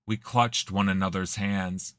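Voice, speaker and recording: A hesitant young man telling a painful memory by a fire in an audio drama, subdued and halting, as a man puts him on. The voice sits low but rings bright and forceful. It is recorded close and dry. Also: steady tone, no echo. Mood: wistful.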